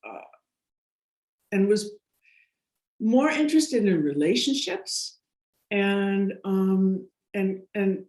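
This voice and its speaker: A middle-aged woman with an American accent, talking at a mid pitch in a room with plenty of echo. She rambles through her stories, restarting sentences and laughing often, in an easy conversational way. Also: slow pace, long pauses, darkish tone. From United States. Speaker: guest. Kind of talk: casual interview storytelling. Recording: roomy echo.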